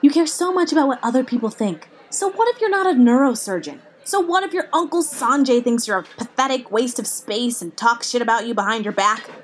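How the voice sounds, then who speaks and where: An actress playing a brash, blunt comedian friend in a naturalistic audio drama, sarcastic, punchy and profane. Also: female voice, on the high side, lively intonation, fairly quick, hardly any pauses, slight background noise, lightly acted. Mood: forceful, rallying.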